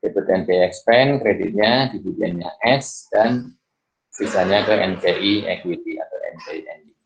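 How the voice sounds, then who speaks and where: A man's voice: low-pitched, normal speed, vocal fry, lo-fi sound, slight background noise. A man giving an informal worked-solution lesson as a lecturer, thinking aloud step by step and often asking himself questions before answering. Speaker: accounting lecturer.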